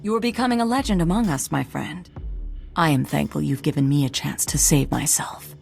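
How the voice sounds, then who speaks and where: Sly, low, gravelly traitor. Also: female voice, normal speed, darkish tone, soft background music. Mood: smug, gloating. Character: sly, traitorous female Jedi master. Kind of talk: video game cutscene acting.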